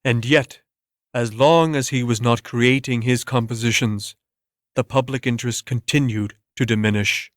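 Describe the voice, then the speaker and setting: A man reading a scripted story as narrator, in a measured way with dramatic pauses. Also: mid-range voice, steady tone, no echo, clean studio sound.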